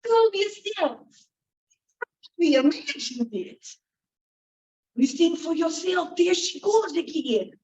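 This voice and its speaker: A woman's voice: very high voice, lively intonation, slow pace, long pauses, a little mellow, voice pushed up, heavily acted. A woman voicing a male manservant who answers in a curt, dismissive way. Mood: scoffing. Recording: roomy, distant, dull sound.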